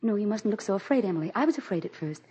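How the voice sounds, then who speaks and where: An actress playing a grieving, high-strung president's wife in a theatrical, intense 1940s radio drama style. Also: female voice, expressive, racing, mellow, breathy edge. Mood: secretive, unbalanced. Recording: old 1940s radio broadcast with music.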